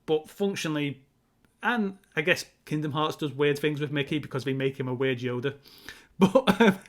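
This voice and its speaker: A man's voice: a little high, normal speed, a bit bright. A man co-hosting a casual film discussion, speaking in an analytical, emphatic way and wandering off into side points. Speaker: podcast co-host.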